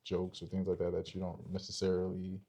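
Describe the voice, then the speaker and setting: A man's voice: low-pitched, completely flat delivery, a little fast, muffled recording, background noise. A young man co-hosting a podcast, talking casually about relationships and explaining step by step while checking for agreement. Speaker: podcast co-host.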